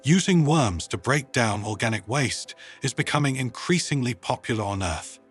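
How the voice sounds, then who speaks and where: A man voicing an enthusiastic, earnest woman scientist in a storybook, with a bright, eager, persuasive manner. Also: medium pitch, expressive, normal speed, husky, soft background music. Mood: earnest passion. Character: enthusiastic, earnest female human scientist proudly presenting worms.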